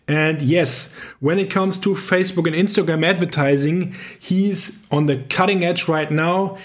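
A man hosting a podcast interview in English with a German accent, speaking earnestly with frequent fillers. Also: fairly high pitch. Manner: earnest, halting, many fillers. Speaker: podcast host.

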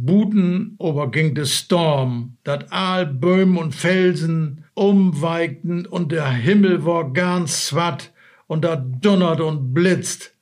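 A man with a Low German accent, a native Low German speaker, reading a fairy tale aloud slowly and haltingly. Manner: slow, halting and stumbling. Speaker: guest reader.

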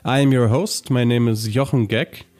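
A man's voice: mid-range voice, steady tone, moderate pace, darkish tone. A man hosting a ministry podcast, a native German speaker who reads an upbeat introduction and then chats with his guest. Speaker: podcast host. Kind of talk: scripted intro then interview chat.

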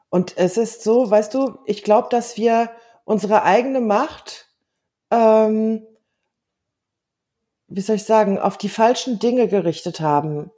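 A German-speaking woman in her fifties talks things through in relaxed conversation, earnest and reflective, with frequent pauses and fillers. Her voice is mellow and warm in tone, with a slightly husky edge. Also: mid-range voice. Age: 50s. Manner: earnest, thoughtful, hesitant with fillers. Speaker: guest. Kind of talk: casual conversation.

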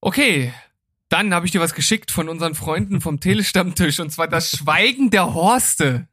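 Easygoing man with a higher voice. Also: animated, moderate pace, pushed and bright, breathy edge, dry recording, studio quality. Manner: easygoing, hesitant with fillers. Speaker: host. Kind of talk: casual film chat.

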